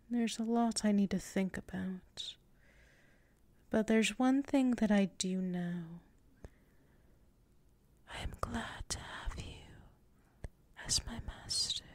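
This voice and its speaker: A woman's voice: mid-range voice, vocal fry, no room sound. A woman playing a submissive yet proud tsundere werewolf in an intimate ASMR roleplay, murmuring hesitantly and often slipping into whispers. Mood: softly affectionate. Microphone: close mic.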